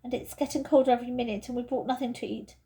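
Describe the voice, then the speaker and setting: Cautious schoolgirl, played by a woman, hesitant, uneasy, reluctant, lightly high and mellow, storybook character. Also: steady tone, racing, gravelly.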